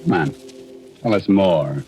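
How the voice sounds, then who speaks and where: A man's voice: low voice, sing-song, slow, a little mellow, faint music behind, exaggerated voice. A man playing a genial, ghoulish horror host with sly, macabre relish, in 1940s radio style.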